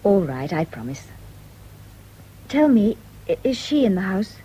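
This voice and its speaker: An actress playing a lovestruck, impulsive young English mistress in a radio drama, ardent and emotional. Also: female voice, mid-range voice, sing-song, a little slow, dark tone, breathy edge, low-quality recording. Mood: hurt, insistent. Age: young adult.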